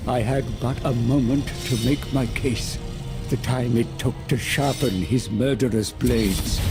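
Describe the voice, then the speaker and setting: A man playing a sly, servile seer who guides demonic warlords, speaking in a theatrical, gravelly voice as dramatic dark fantasy game narration. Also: fairly low pitch. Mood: ominous storytelling. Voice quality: creaky, gravelly. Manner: theatrical, deliberate, sly and flattering. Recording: music under the voice. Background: dramatic music.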